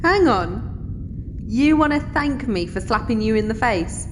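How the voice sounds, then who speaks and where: A woman's voice: on the high side, animated, unhurried, background noise. A woman acting a blunt, wry, teasing starship crew member in an audio drama. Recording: long hall reverb, music behind.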